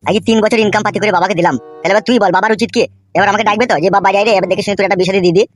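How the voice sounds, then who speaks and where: A man's voice: high voice, fairly even intonation, rapid-fire, faint music behind, natural voice. A man voicing a foul-mouthed young cartoon villager, complaining crudely and emphatically. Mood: aggrieved. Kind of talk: comic Bengali cartoon dialogue.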